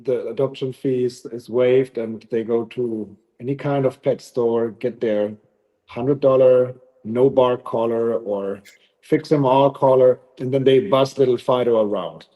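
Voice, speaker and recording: A man from Germany with a German accent, who runs a dog training facility, talking thoughtfully with many pauses in a casual interview. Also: mid-range voice, steady tone, warm tone, rough recording.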